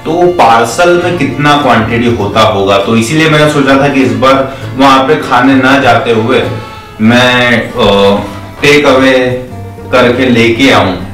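A man's voice: medium pitch, slow pace, a bit bright. A young man chatting casually as he unboxes takeaway food for his vlog and counts the items aloud. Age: young adult. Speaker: vlogger. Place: bare indoor room, seated on floor. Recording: echoey room with faint music.

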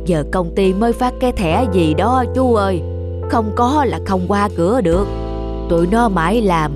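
A woman's voice: mid-range voice, moderate pace, lightly acted. A woman voicing a humble, affectionate elderly street dessert seller in a cartoon, chatty and homely. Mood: wistful. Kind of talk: cartoon voice acting. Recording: background music under dialogue.

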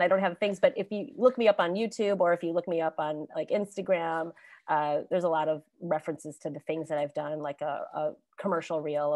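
A woman on a video call panel telling stories about her acting career in a chatty, animated way, laughing often and restarting sentences. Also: deep voice, no echo. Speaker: alumni panelist, actor.

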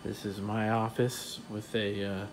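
A man casually walking through his print shop and explaining its equipment in a relaxed, technical way with lots of fillers, recorded on a phone he carries. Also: on the low side, unhurried, a little hiss or hum, poor audio. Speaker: print shop owner giving a tour. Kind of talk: casual walkthrough tour. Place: print shop offices and warehouse, indoors. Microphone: handheld phone camera, filming while walking.

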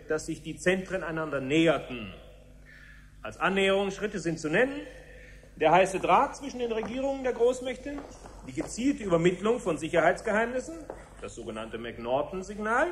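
A brisk official with a high-pitched voice, in a 1970s radio play. He explains in formal, orderly sentences, as in a briefing. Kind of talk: 1970s German radio drama acting.

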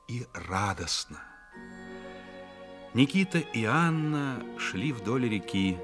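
A man narrating a literary radio play as the author's voice, measured and reflective, with deliberate pauses. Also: lowish voice. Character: authorial narrator of a family novel, reflective and solemn. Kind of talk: scripted literary narration, dramatic radio reading.

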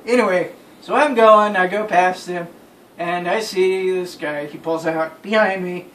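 A young man casually telling friends an anecdote in an animated way. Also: high-pitched, measured pace. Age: young adult. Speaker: storyteller. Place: small bedroom, indoors. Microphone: phone camera a few feet away. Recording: roomy, distant phone mic with noise.

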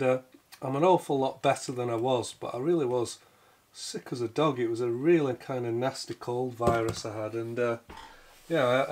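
A middle-aged man with a croaky voice from a cold, chatting casually as he repairs gear at his workbench. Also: mid-range voice, noisy background. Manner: chatty, thinking aloud while working. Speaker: repair video host. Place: home workshop bench.

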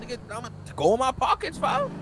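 Male gamer, high lively voice, brash, slangy, profane, noisy vehicle ambience. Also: brisk, creaky. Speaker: fellow player in an online role-play game. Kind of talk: casual banter while gaming.

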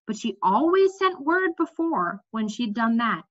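A woman's voice: a little high, sing-song, a little slow, highs cut off. A woman reading the part of a candid, matter-of-fact young woman in a fantasy storybook. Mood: fond, explaining.

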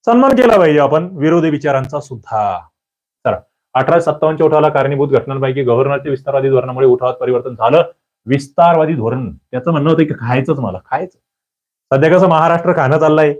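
A man teaching an exam prep lecture, quizzing listeners with lively rhetorical questions. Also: on the high side, quick pace. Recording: dull lo-fi audio.

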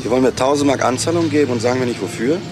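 An actor playing a gruff, indifferent ex-convict in a crime radio drama, with a terse, blunt, offhand way of talking. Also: male voice, mid-range voice, rapid-fire, over music, natural voice. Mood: wary.

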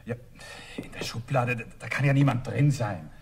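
An actor playing a flustered, puzzled shop owner, a watchmaker, bewildered and stammering, in a children's radio play. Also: male voice, mid-range voice, moderate pace, gravelly, noisy background, natural voice.

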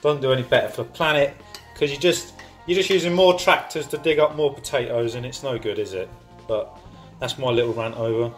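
A man's voice: medium pitch, a little fast, faint music behind. A young man, chatting casually and joking while he cooks in his home kitchen, filming on a handheld phone. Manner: chatty, jokey, rambling asides. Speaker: home cooking vlogger. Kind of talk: casual cooking vlog. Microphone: handheld phone camera.

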